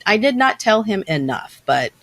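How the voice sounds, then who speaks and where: A woman hosting a podcast interview, talking in a lively, ranting way and laughing often. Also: mid-range voice, normal speed. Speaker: podcast host. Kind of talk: casual interview chat.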